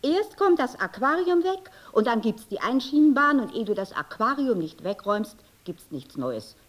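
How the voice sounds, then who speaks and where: Lively, scolding mother. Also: on the high side, normal speed. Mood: annoyed, scolding. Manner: bossy, exasperated. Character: brisk, scolding mother, strict but caring. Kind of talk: radio drama acting.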